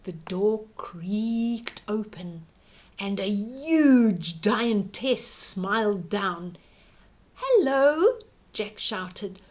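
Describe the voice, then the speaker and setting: A woman reading a bedtime story aloud to children, dramatically, with emphatic drawn-out words and hushed suspense. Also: mid-range voice.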